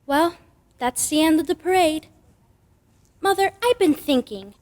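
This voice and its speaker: A woman's voice: sits high, expressive, unhurried. A woman playing a precocious, skeptical little girl in an old-time radio drama, prim and matter-of-fact. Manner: prim, know-it-all.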